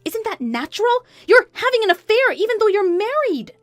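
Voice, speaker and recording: A woman voicing an indignant, scornful betrayed wife in a narrated story, sarcastic and forceful. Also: high voice, very animated, normal speed, dry recording, soft background music, pitched above the performer's own voice.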